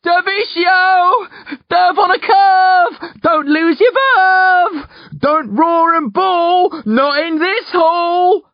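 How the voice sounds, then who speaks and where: Man voicing an urgent, high-pitched rhyming youth. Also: very slow, dry recording, muffled recording, full character voice. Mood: urgent, calling. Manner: sing-song rhyming, insistent.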